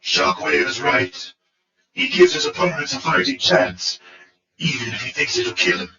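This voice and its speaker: A man's voice: medium pitch, huge pitch swings, normal speed, bright, forceful tone, distant mic. A man voicing a coldly logical robot officer in an audio drama, formal and matter-of-fact. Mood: coldly certain. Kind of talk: fan audio drama acting. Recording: echoey, muffled.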